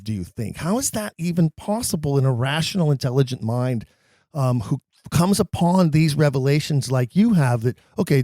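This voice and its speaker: A man's voice: on the high side, darkish tone, no echo, studio quality. A man hosting a podcast, asking a guest probing questions in an inquisitive way. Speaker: podcast host. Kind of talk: interview questions.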